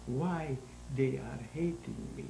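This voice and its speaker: A man in his nineties with a Hungarian accent, giving halting, deliberate interview answers about his own life in non-native English. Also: mid-range voice, creaky, mellow. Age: 90s. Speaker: interview guest, sculptor. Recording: roomy echo, faint background noise.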